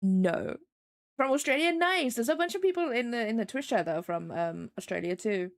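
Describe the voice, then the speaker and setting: A woman's voice: fairly high pitch, expressive, normal speed, no room sound, studio quality. A young English woman with a faint Midlands accent, chatting casually with her live-stream chat while she draws, rambling and self-deprecating. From England.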